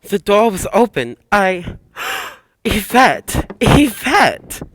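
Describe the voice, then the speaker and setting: A performer playing a distraught, hot-tempered young gentleman, gasping and distressed in a radio play. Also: mid-range voice, sing-song, drawn-out words, slight background noise, heavily acted. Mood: shocked, grieving. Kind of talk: melodramatic radio play acting.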